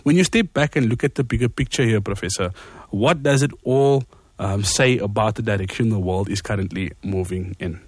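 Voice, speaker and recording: A man's voice: on the low side, fairly quick, slightly rough, dry recording. A man hosting an evening radio talk show from the studio, upbeat and conversational. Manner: upbeat, conversational, occasional laughs. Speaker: radio host.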